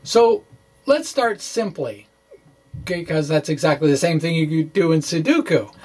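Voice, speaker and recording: A man explaining physics in an informal walkthrough, thinking aloud in a halting way and correcting himself, with wry asides. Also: on the high side, expressive, a little mellow, breathy edge. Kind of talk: informal math walkthrough, reading and ad-libbing.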